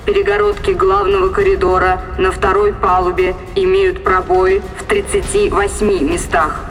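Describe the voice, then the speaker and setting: An actress playing an impassive female ship's computer in a science fiction audio drama, giving formal, measured status reports. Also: fairly low pitch, level tone, close to an everyday voice.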